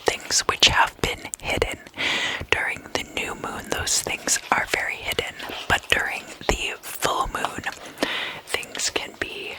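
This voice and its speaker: A woman whispering in a breathy, unvoiced voice, guiding an ASMR meditation with soft, deliberate phrasing and hypnotically repeated words. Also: poor audio. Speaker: ASMR host and tarot meditation guide.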